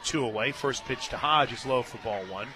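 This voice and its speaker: A man doing live radio play-by-play of a softball game, mixing commentary with casual banter from a booth at the ballpark. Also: mid-range voice, normal speed. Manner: bursts into excited shouts on big plays. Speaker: play-by-play sports radio announcer.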